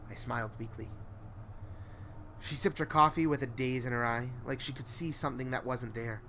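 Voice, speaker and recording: A man's voice: medium pitch, moderate pace, pushed and bright, a little hiss or hum. A man narrating a first-person horror story in an emotive, brooding, dramatic way.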